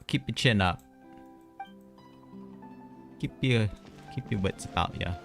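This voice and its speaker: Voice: medium pitch, slow, gravelly, music in the background. A game streamer giving casual, chatty live commentary with sympathetic reactions.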